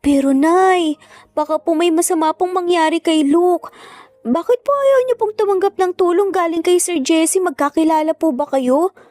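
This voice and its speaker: A woman's voice: extremely high pitch, moderate pace, darkish tone, no room sound, pitched above the performer's own voice. A woman voicing a polite, earnest young boy who pleads respectfully in a narrated family drama. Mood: worried pleading. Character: polite, pleading young boys, worried for their mother.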